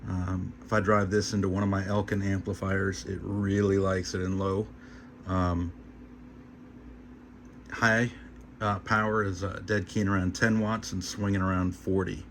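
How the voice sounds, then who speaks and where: Low-voiced man, casual and halting, noisy recording. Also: level tone. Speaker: hobbyist presenter of a radio repair video. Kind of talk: casual unscripted hands-on demonstration. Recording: noticeable background hum and noise.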